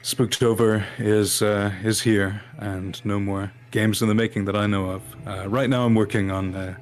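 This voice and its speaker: A man's voice: fairly low pitch, flat delivery, moderate pace. A man, a voice actor, chatting casually as a guest in a hesitant, earnestly grateful way. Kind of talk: casual chat. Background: background music.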